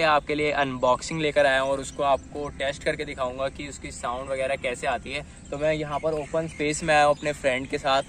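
A man's voice: mid-range voice, a bit bright. A young man casually unboxing and testing a gadget, talking to viewers as guys, recorded outdoors on a phone camera. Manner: chatty, addresses viewers as guys. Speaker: vlogger. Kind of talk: casual unboxing and test vlog. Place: outdoors in an open dirt field, later riding on a street. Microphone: phone camera, later a chest-mounted phone.

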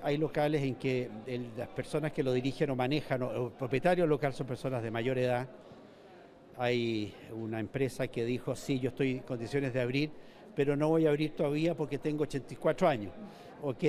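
A man's voice: on the high side, a little mellow. An older man with a Chilean accent, a mall manager answering a reporter's questions in a news interview, often restarting and repeating words. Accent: Chilean Spanish. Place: indoor shopping mall corridor. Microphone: reporter's handheld mic.

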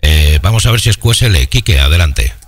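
Man, lowish voice, measured hesitant delivery, technical radio chat, bass-boosted microphone. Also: lively intonation, background noise. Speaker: amateur radio operator. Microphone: microphone with preamp, equalizer and bass exciter.

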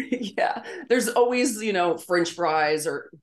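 A woman's voice: on the low side, expressive, slow pace. A middle-aged woman, a guest on a video call interview, telling stories in a chatty way and laughing often. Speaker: interview guest, former model. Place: home room with framed pictures on the wall.

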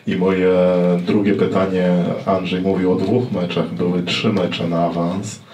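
A man's voice: deep voice, little pitch movement, a little slow, darkish tone. A man, a journalist asking long, winding questions at a press conference. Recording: echoey room, distant mic, faint noise.

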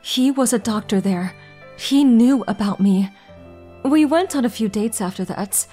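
A woman playing a guarded, gentle, melancholy young woman in an anime dub, soft-spoken and hesitant. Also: a little high, lively intonation, measured pace, soft background music. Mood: melancholy confiding. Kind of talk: anime manga dub acting and narration.

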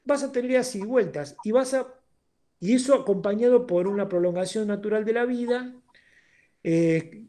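A man's voice: sits high, moderate pace, mellow. An Argentine man in his sixties talking informally and emphatically as an interviewed guest on a video call, often checking that he is understood. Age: 60s. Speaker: interviewed guest, accountant turned entrepreneur. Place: indoor room at home, video call.

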